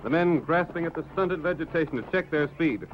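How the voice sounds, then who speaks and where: A man's voice: a little high, animated, fairly quick. A man narrating a 1930s radio serial in a theatrical, suspenseful and formal manner. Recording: muffled 1930s radio with music behind. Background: dramatic music.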